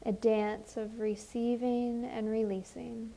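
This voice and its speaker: A woman leading a guided meditation as a teacher, speaking gently and soothingly, with unhurried phrases and long pauses. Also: on the high side, level tone.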